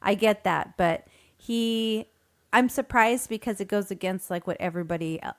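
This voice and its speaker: A woman's voice: medium pitch, normal speed. A woman co-hosting a podcast in casual chat, chatty, laughing between words and hedging with fillers. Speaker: podcast co-host.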